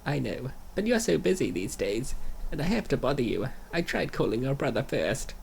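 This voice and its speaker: A man's voice: mid-range voice, sing-song, moderate pace, vocal fry, a little hiss or hum, pitched above the performer's own voice, poor audio. A man voicing a grateful, fretting older mother with an apologetic manner in a comic dub. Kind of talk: comic dub character acting.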